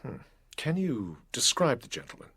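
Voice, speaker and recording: An actor playing an authoritative, determined gentleman investigator, deliberate and grave, in a period audio drama. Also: medium pitch, big pitch swings, breathy edge, full character voice. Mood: probing.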